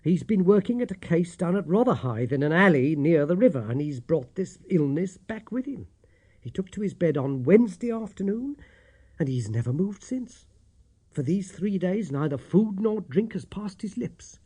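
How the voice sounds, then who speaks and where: Man voicing an anxious, high-pitched London landlady. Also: sing-song, normal speed, warm tone, slightly rough, heavily acted. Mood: anxious, deferential. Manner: distressed, deferential, earnest. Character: long-suffering, anxious, deferential London landlady, a woman.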